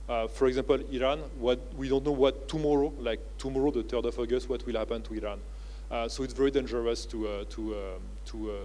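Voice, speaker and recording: Male keynote speaker, French accent, mid-pitched, halting delivery full of fillers, conference stage talk. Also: darkish tone, a little hiss or hum, low-quality recording. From France. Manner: halting, with many "uh" and "you know, like" fillers. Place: convention centre stage.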